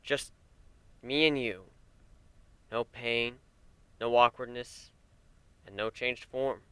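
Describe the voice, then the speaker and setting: A man voicing a gentle, wry male pegasus pony, a devoted husband, speaking softly and soothingly with light teasing in a story reading. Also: mid-range voice, slow pace, clean tone, dry recording. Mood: quiet, sincere.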